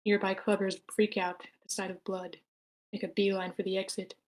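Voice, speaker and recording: A woman's voice: medium pitch, little pitch movement, normal speed, darkish tone. A woman reading stage directions in a matter-of-fact way at a screenplay table read.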